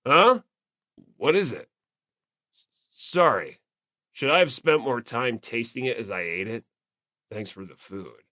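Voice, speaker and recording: Woman as teenage tough guy, deepened voice, blunt, manly, emphatic, audiobook character, dry sound. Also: expressive, a little slow, pauses often, bright, forceful tone, dry recording, full character voice. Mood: surprised, awkward.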